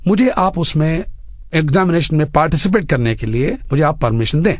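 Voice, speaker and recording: Higher-pitched older man telling his story. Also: expressive, no room sound, studio quality. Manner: earnest, deliberate phrasing mixing in English words. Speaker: interview guest, bank general manager. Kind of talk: personal storytelling in an interview. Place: radio studio.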